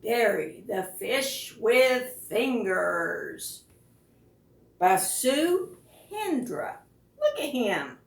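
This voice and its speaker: A woman reading picture books aloud to preschoolers as a storytime host, with expressive, deliberate phrasing and questions to the children. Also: a little high, gravelly. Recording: roomy, echoing, distant microphone.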